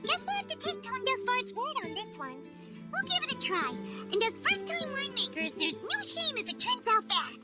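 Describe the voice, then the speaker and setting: An extremely high, squeaky little fairy companion from a video game. She is cheerful and full of herself, with hugely animated swings of pitch over prominent music. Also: normal speed. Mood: encouraging. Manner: speaks of herself in third person, chirpy. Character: small childlike floating fairy companion, cheerful, excitable, self-important.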